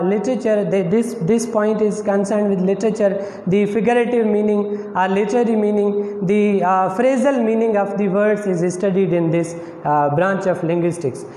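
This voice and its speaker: Pakistani man lecturing in an echoey room. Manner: explanatory, many fillers and restarts, lively pitch on key terms. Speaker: English lecturer. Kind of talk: recorded lecture to students. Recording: echoey room with faint noise.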